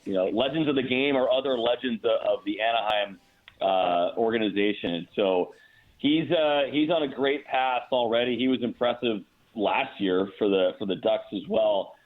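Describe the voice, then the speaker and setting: A man's voice: mid-range voice, moderate pace. A man, a play-by-play announcer appearing as a guest, talks through hockey analysis conversationally over a remote call. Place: remote call into a radio show. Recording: tinny, narrow-band phone line.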